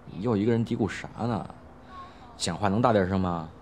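Man playing a low-voiced cocky teenage schoolboy. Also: brisk, darkish tone, a little hiss or hum, natural voice. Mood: teasing, impatient. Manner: casual, slangy, blunt. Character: brash, teasing male high school student, playful and cocky. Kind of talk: Chinese audio drama acting.

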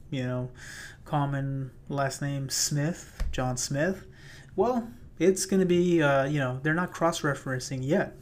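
Man, podcast host, slightly raspy, casual solo talk, filler-heavy. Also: on the high side. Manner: loose and chatty, many fillers and restarts. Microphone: plugged-in microphone.

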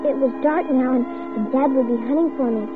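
A woman's voice: high-pitched, brisk, music in the background, close to an everyday voice. An actress playing an earnest, frightened, stubborn young girl in an old-time radio drama, pleading and urgent. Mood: anxious storytelling. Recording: tinny, noisy old radio recording.

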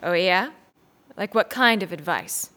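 Indignant, sharp-voiced grieving mother. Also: on the low side, animated, unhurried. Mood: sarcastic, bitter. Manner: blunt, sarcastic, confrontational. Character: indignant, defiant grieving mother, sarcastic and fiercely protective. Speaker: actor in radio play. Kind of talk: naturalistic audio drama acting.

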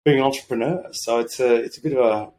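A slightly croaky Australian man talking in a rambling, informal, mildly sweary way as a podcast guest. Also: medium pitch, fast talker, dark tone, a little hiss or hum. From Sydney, Australia. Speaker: podcast guest, medical doctor and entrepreneur. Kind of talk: casual conversational interview.

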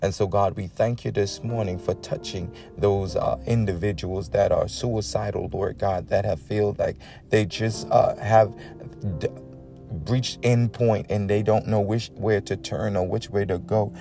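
A man's voice: fairly low pitch, steady tone, a little slow, vocal fry, warm tone, faint music behind. A man from the Bahamas, praying and exhorting earnestly as a preacher, often punctuating his words with amens. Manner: earnest, punctuated with "amen" and repeated invocations. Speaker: preacher leading a morning prayer. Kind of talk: devotional talk and extemporaneous prayer.

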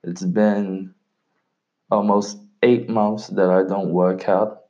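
A young Brazilian man with a faint North American tinge talks slowly and loosely on his own podcast, swearing now and then. His voice is low and mellow. The audio is dull and lo-fi. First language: Portuguese. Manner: relaxed, rambling, swears casually. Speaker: podcast host. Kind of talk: casual solo podcast monologue.

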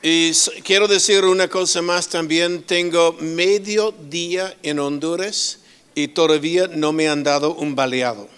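A middle-aged man preaching as a guest speaker, slow and full of pauses and restarts, with humorous asides. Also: fairly high pitch, steady tone, fairly bright tone, smooth. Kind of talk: sermon.

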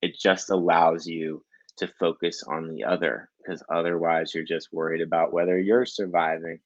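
A man's voice: low-pitched, little pitch movement, moderate pace, dry recording, muffled recording. A man as a podcast guest, musing thoughtfully with trailing restarts. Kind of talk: casual interview chat.

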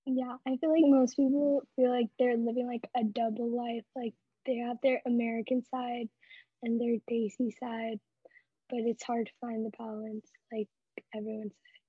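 Husky teen girl on muffled mic. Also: on the high side, little pitch movement, moderate pace, no room sound. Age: teens. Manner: soft-spoken, filler-heavy. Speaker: podcast co-host. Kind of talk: casual chat.

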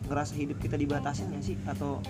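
Brief: Indonesian male podcast host, slightly high voice, playful tall-tale teller, clear music bed behind. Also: little pitch movement, fast talker. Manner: playful, spins absurd tall tales. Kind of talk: casual joking chat. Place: home with birds outside.

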